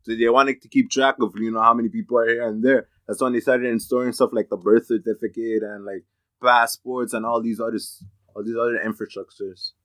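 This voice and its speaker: A man chatting casually as a podcast panelist, insistent and quick to interject in slangy everyday speech. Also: mid-range voice, level tone, no room sound. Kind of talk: casual group discussion.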